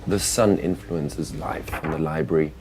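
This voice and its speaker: A man voicing a solemn, measured nature documentary narrator in a scripted parody. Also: very deep voice, background noise.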